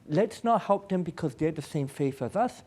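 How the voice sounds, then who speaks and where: A man speaks at a public panel in a relaxed, mellow tone that sits a little higher than most male voices. He comes across as an earnest aid worker, explaining through personal stories and repeating phrases for emphasis. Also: moderate pace, slightly rough. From born in Pakistan, American.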